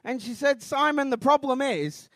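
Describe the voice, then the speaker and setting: Mancunian comedian, high voice, dry sound. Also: male voice, lively intonation, normal speed, no room sound. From Manchester, England. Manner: rambling, self-deprecating, with bursts of shouted outrage. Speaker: stand-up comedian. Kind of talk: stand-up routine. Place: comedy club stage.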